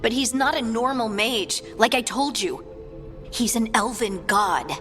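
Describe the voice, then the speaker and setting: A woman's voice: fairly high pitch, a little slow, a bit bright, long reverb tail, faint music behind. A woman playing a restless, driven, blunt fighter in a fantasy video game, speaking in clipped, insistent phrases. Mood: grave. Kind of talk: video game cutscene dialogue.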